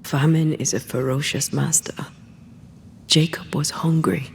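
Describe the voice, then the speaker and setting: An actress playing a solemn ghost woman, a slain ghost-hunter, in a video game cutscene, speaking gravely and often dropping to a hush. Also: deep voice, fairly even intonation, unhurried, a little hiss or hum. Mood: somber.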